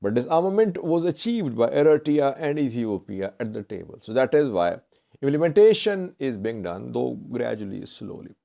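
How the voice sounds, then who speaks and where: A man presenting news commentary to viewers in halting, deliberate phrasing, often correcting himself. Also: mid-range voice, big pitch swings, vocal fry, warm tone. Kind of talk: semi-scripted news commentary.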